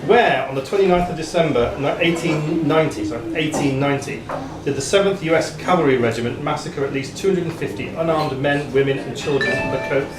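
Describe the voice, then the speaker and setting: A man's voice: on the high side, brisk. A man reading out questions as quizmaster at a live pub quiz. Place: pub function room. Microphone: distant room microphone. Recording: echoey room, distant mic, crowd noise.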